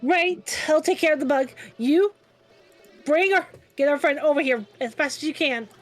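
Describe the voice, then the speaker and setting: A woman player at a tabletop game, animated and reactive, quick to exclaim. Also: sits high. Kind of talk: casual play at the table.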